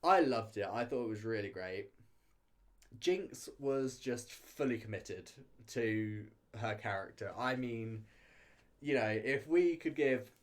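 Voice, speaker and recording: A man with a mid-pitched voice gives his opinions as a reviewer in a casual, chatty commentary. He talks loosely and hesitantly, often hedging and breaking off to rephrase as he goes. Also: animated, slow pace. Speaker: reality show reviewer.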